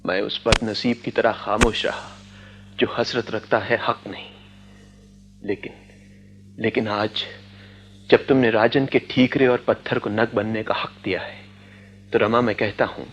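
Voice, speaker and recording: An actor playing an earnest, poor young student passionately in love, with intense poetic delivery in a Hindi radio drama. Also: male voice, mid-range voice, fairly even intonation, a little slow, pauses often, lo-fi sound. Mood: ardent, tender. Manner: poetic, intense, breathy declarations.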